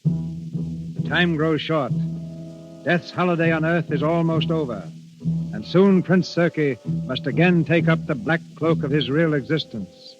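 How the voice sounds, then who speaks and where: Formal male old-time radio host, fairly high-pitched. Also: lively intonation. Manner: formal, deliberate. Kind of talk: 1930s radio presenting and scripted narration. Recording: dull vintage radio recording, music behind.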